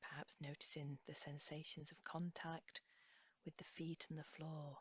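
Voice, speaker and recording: A woman leading a guided body scan meditation as an instructor, speaking softly and soothingly in an unhurried way, with phrases trailing off breathily. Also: deep voice, little pitch movement, breathy edge. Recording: slightly dull, echoey room recording.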